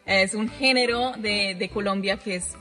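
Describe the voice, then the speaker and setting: A woman's voice: medium pitch, fairly even intonation, measured pace, pushed and bright, long reverb tail, soft background music. A woman from the United States, a native English speaker with an American accent, chatting casually and effusively, repeating words for emphasis. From Chicago, United States. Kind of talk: casual personal chat.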